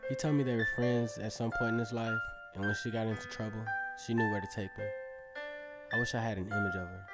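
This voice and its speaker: Guy acting as cocky teen circus acrobat narrating a radio drama, kinda low voice, casual n dreamy, music behind him. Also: steady tone, fast talker, close to an everyday voice. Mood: wistful, defensive. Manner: casual, self-assured storytelling. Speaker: narrator and lead character. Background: instrumental music.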